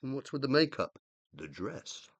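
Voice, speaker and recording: British middle-aged scientist husband, uploaded consciousness, needy, indignant, lively intonation, radio play. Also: male voice, medium pitch, moderate pace, no room sound. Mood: wounded, wistful. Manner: pompous, plaintive. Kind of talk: radio play dialogue and monologue.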